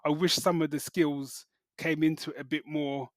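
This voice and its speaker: A man's voice: on the high side, little pitch movement, moderate pace, no echo, clean studio sound. A man co-hosting a podcast in casual, enthusiastic, colloquial talk. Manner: colloquial, enthusiastic, acts out quotes, often ends phrases with "man". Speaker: podcast co-host. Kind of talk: casual chat, reviewing television.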